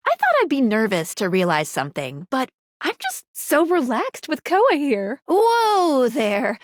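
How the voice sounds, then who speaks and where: A bubbly young female guide in a video game, high-voiced and swooping in pitch. She is friendly and quirky, with playful, slangy delivery, recorded close and dry. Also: dry recording. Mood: nervous, unsure. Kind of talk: video game character voice lines.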